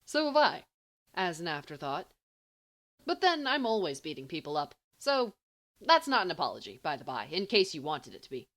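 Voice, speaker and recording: A woman's voice: fairly low pitch, big pitch swings, moderate pace, fairly bright tone. A woman playing a brash, teasing teenage schoolboy in an audiobook, indignant and flippant with a mock-grand manner.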